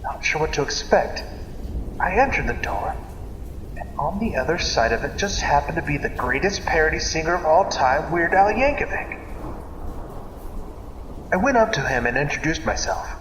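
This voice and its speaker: A man narrating a scripted story in a theatrical, deliberate manner, like an old-time radio serial. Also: on the high side, very animated, noisy background. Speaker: narrator of a dream story series. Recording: echoey reverberant hall, loud music behind. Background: music.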